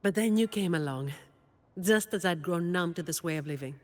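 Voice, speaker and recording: A woman playing a weary, dutiful young office employee in a video game, delivering scripted monologue in a dry, deadpan way. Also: on the low side, moderate pace, long reverb tail, natural voice. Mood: weary, sincere.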